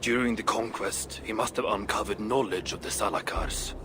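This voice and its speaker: A man's voice: fairly low pitch, moderate pace, background noise, lightly acted. Man acting a proud, blunt dwarf craftsman. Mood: curious. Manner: blunt, self-important. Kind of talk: video game cutscene acting.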